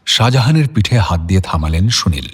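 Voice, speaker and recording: Low-voiced male story narrator. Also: expressive, a little fast, slight background noise. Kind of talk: scripted audio-story narration.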